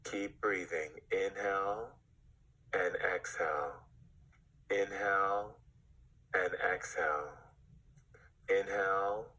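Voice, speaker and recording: A man guides a meditation in a low voice at a very slow pace. He gives breathy, hypnotic instructions and leaves long pauses between them. The tone has a bright, forceful edge. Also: steady tone, low-quality recording.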